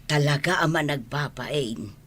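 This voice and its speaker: A woman acting an ailing, affectionate elderly mother with a husky voice in a radio comedy drama. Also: low-pitched, unhurried, a bit bright. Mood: chatty, proud.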